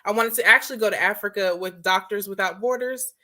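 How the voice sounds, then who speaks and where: A young woman from Trinidad and Tobago speaks as a guest candidate in an interview, earnest and quick to laugh. Her voice is bright, and her pitch sits in the middle. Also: a little hiss or hum. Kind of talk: interview conversation.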